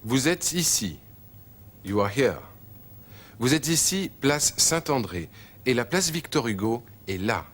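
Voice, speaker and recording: A man narrating a language lesson, repeating phrases slowly and clearly. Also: medium pitch, big pitch swings. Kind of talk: scripted teaching narration.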